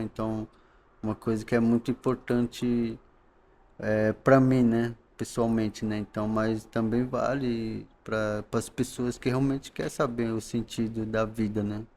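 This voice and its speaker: A man from the coast of São Paulo, Brazil, speaking as a podcast guest in a calm, halting way, often ending phrases with tag questions. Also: fairly low pitch, a little hiss or hum. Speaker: guest, knowledge keeper. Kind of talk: podcast interview.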